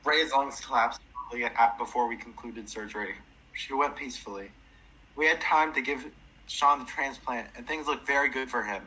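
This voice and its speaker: A man playing a formal, apologetic hospital staffer in a radio drama, speaking in a measured way. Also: medium pitch, bright, forceful tone, a little hiss or hum, natural voice, low-quality recording. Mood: solemn.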